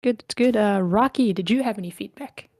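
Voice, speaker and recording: A woman's voice: mid-range voice, fairly quick, a little mellow, no echo, studio quality. A woman casually moderating an online script read, calling on people and reading out comments, sometimes murmuring to herself. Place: indoors on an online meeting call.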